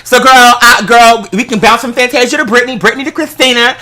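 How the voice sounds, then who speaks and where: Bright, sassy American advice vlogger. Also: medium pitch, a little fast. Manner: sassy, playful, addresses listener as girl; theatrical, bursts into song. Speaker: vlogger giving relationship advice. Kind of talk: casual animated advice chat.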